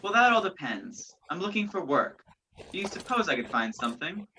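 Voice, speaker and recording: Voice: medium pitch, big pitch swings, normal speed, pushed and bright, slight background noise, low-quality recording. A performer playing an earnest, anxious man on the run in a radio play, polite and hesitant. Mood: polite, guarded. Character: earnest, anxious male fugitive posing as job seeker.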